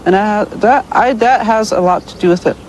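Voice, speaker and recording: A woman business owner speaking in an interview, emphatic and halting. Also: mid-range voice, darkish tone, a little hiss or hum, rough recording. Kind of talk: interview soundbite.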